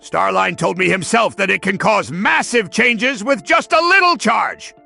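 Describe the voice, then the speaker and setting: A man's voice: sits high, lively intonation, a little slow, creaky, pushed and bright, soft background music, exaggerated voice. A man voicing a pompous, sarcastic mad scientist villain in a theatrical, gloating cartoon style. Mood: scheming, sarcastic.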